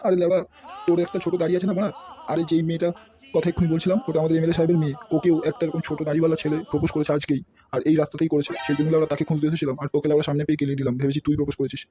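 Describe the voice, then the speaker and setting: A man's voice: fairly high pitch, level tone, racing, mellow, soft background music, close to an everyday voice. A man voicing a loyal but blundering thug in a comedy cartoon, brash and swearing. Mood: apologetic. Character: loyal, thuggish, blundering male henchman. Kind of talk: amateur comedy cartoon voice-over.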